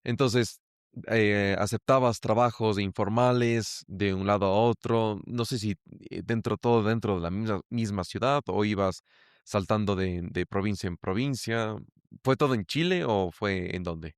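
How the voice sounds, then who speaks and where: Presentador de voz grave y seca. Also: male voice, on the low side, unhurried, clear, resonant tone, no echo, studio quality. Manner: curious, engaged questioner with exclamations. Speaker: host. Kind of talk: podcast interview hosting. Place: lives in Ecuador.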